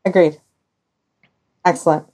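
A woman hosting a podcast discussion in casual talk, with emphatic, deliberate phrasing and pauses. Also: dark tone.

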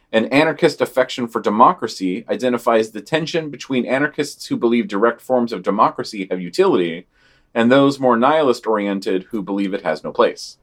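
A man co-hosting a podcast, reading long quoted passages from news items. Between the quotes he gives flat, deadpan and critical remarks. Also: medium pitch. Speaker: podcast co-host.

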